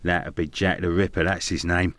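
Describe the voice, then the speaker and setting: An actor playing a gossipy working-class Victorian barkeeper in a video game, blunt and colloquial, with a London accent. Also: male voice, sits low, level tone, moderate pace, a bit bright, dry recording. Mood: gossipy, scornful. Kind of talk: video game character dialogue.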